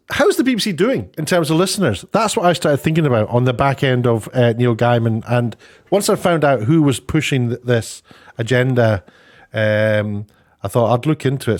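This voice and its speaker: A man's voice: medium pitch, a little mellow. A man giving unscripted opinion commentary as an online host, rambling and often correcting himself as he goes. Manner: halting, rambling, self-correcting.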